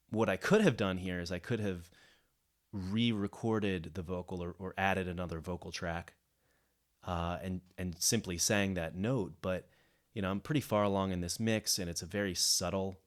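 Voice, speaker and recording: A man giving an unscripted software tutorial as a music producer, thinking aloud and rephrasing as he goes. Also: deep voice, steady tone, measured pace. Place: indoors, voice-over of a screen recording.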